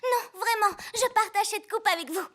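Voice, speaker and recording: An actor voicing a lively, earnest six-year-old girl superhero in a children's cartoon. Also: very high voice, moderate pace.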